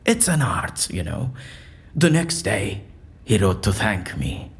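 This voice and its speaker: Eccentric gentleman, florid, pompous, erudite, very animated pitch, audio drama. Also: slow. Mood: chatty, whimsical. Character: eccentric, theatrical, erudite man, convinced his interrogation is staged.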